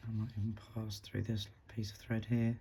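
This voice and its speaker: A man with a husky voice from a recent flu, calmly talking through a beading tutorial step by step while he works. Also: on the low side, moderate pace. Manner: calm, counts beads aloud, talks while working. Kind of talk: step-by-step craft instruction.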